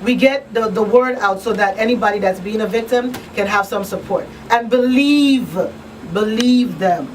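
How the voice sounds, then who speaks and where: A woman's voice: mid-range voice, normal speed. A woman hosting a casual radio talk show, speaking emphatically and sometimes reading out announcements. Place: radio studio. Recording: distant mic with faint background noise.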